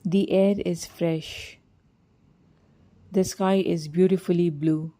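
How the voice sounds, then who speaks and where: A woman leads a guided meditation in a soft, mellow voice on the lower side. She speaks very slowly and soothingly, reading scripted lines with gentle, measured phrasing. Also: fairly even intonation.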